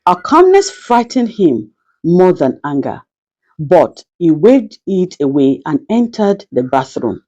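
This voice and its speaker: Woman storyteller, dramatic folktale narration, lowish pitch, mellow tone, very lively intonation, direct address. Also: slow pace, highs cut off. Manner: addresses listeners directly, proverbial.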